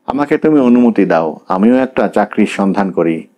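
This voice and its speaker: A man's voice: lowish voice, lively intonation, moderate pace, dull audio, natural voice. A man doing a stubborn young wife. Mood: insistent. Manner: insistent, questioning.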